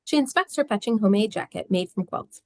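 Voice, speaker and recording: A woman narrates stage directions from a script. Her voice sits at a medium pitch, recorded dry with little room sound. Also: lively intonation, quick pace, no echo. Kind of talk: scripted narration at a table read.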